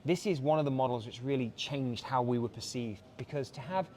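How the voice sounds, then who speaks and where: A man's voice: medium pitch, brisk, mellow, a little hiss or hum. A man with an English accent, a brand director giving an enthusiastic, polished product walkthrough with filler words. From England. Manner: enthusiastic, polished salesman patter with frequent "uh" fillers. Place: busy watch showroom event.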